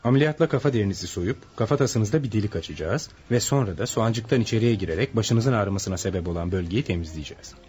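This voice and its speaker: A man's voice: lowish voice, animated, fast, few pauses, darkish tone, natural voice. An actor playing a courteous, composed male brain surgeon in a radio drama. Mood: calm, professional.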